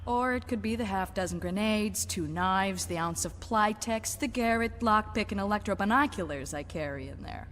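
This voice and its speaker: An actress playing a tough rebel agent with a dry, guarded, sardonic manner in an audio drama. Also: female voice, medium pitch, normal speed, few pauses, a bit bright, lightly acted. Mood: dry, confident. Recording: long reverb, clear ambient noise.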